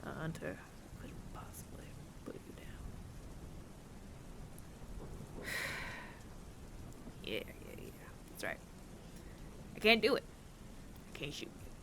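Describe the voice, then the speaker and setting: Man acting a breathy, creaky, world-weary bounty hunter. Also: fairly high pitch, huge pitch swings, unhurried, pauses often, heavily acted. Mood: weary, resigned. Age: older. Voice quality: breathy, often near-whispered. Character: world-weary male android bounty hunter with reluctant mercy. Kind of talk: intimate ASMR audio roleplay.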